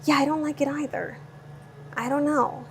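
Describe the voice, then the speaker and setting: Bubbly high-voiced young Californian woman. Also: steady tone, normal speed, slight background noise. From Southern California. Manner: bubbly and chatty, reading out viewer comments. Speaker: vlogger cooking live and answering chat. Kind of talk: casual live-stream chat. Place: home kitchen.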